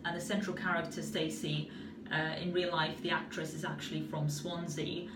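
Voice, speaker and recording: A woman's voice: low voice, flat delivery, moderate pace. A young woman with a South Wales Valleys accent, chatting casually with lots of fillers and restarts. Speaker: video answerer. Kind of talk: casual spoken answer. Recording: roomy echo, far from mic, loud noise.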